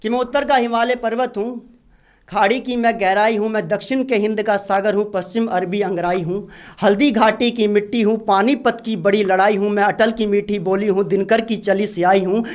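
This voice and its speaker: A man's voice: sits high, steady tone, normal speed. A man reciting patriotic poetry at an open mic, declaiming and repeating lines for emphasis. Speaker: poet.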